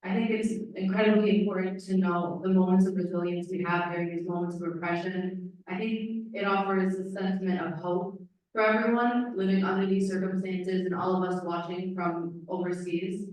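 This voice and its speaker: A woman moderator introduces speakers in a calm, formal manner. Her voice sits lowish and stays very flat. She is picked up from a distance in a heavily echoing room. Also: normal speed. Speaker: event moderator. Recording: echoey hall, distant mic.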